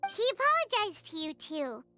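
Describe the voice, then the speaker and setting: A woman voicing a small, childlike, excitable fairy companion in a video game, squeaky and candid. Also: normal speed, soft background music, full character voice. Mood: chirpy, curious. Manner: speaks of herself in third person, exclaiming. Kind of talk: English video game voice acting.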